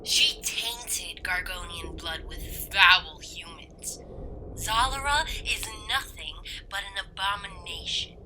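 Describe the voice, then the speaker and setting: A woman's voice: mid-range voice, slow, noisy background, natural voice. A woman playing a scheming alien zealot and traitor in an audio drama, with a haughty, gloating, theatrical delivery. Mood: contemptuous, zealous.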